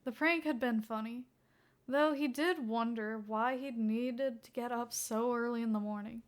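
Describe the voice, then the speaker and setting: A woman's voice: on the high side, measured pace. A woman narrating a scripted fan fiction story as its reader.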